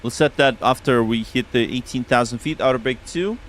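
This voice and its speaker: Russian-accented male streamer, relaxed and chatty, mid-pitched voice. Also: moderate pace, a little hiss or hum. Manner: relaxed, chatty, reads back radio calls. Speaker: flight sim streamer. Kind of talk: casual live-stream chat with radio calls.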